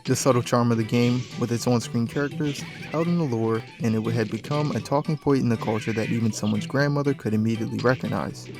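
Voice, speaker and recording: A man's voice: medium pitch, brisk, hardly any pauses. A man reading scripted video essay narration in the manner of a documentary. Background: background music.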